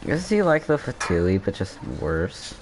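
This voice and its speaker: A man's voice: deep voice, big pitch swings, measured pace, slight background noise. A man giving casual gameplay commentary, rambling and thinking aloud as he plays. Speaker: gamer commentator.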